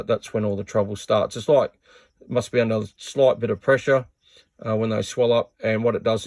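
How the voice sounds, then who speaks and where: A man's voice: lowish voice, darkish tone, no room sound. A man giving a casual hands-on repair tutorial in a plain-spoken, matter-of-fact way.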